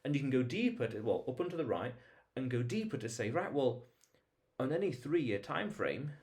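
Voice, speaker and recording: A man with a mid-pitched voice speaks as a vlog host in an informal monologue. He explains things emphatically, often repeating phrases for weight and putting rhetorical questions to the viewer. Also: big pitch swings, normal speed, a little mellow.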